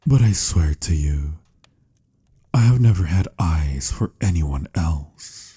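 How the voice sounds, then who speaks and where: Man voicing a husky, very deep romantic vampire. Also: expressive, slow, dark tone, exaggerated voice. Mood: tender, yearning. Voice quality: husky, breathy, slipping into whispers. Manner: intimate, soothing, slightly formal endearments. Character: tender, teasing, lovelorn male vampire. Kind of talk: romantic ASMR audio roleplay.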